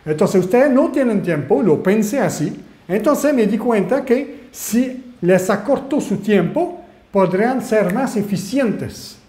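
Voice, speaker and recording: French-Canadian male webinar host, animated, asks the room questions, roomy echo on a webcam recording. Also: sits high, measured pace. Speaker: online marketing mentor. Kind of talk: webinar presentation.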